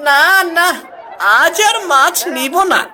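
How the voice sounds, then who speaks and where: Cartoon market villager, voiced by a woman: piercingly high, bright, sceptical and teasing. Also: slow, some chatter behind, slight background noise, full character voice. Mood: teasing, curious. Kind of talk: Bengali cartoon folk-tale dubbing.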